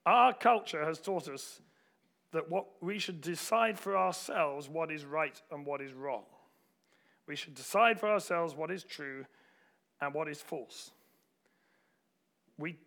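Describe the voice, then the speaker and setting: A man delivering a sermon with anecdotes, in deliberate, rhetorical phrasing. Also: on the high side, a bit bright. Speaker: preacher.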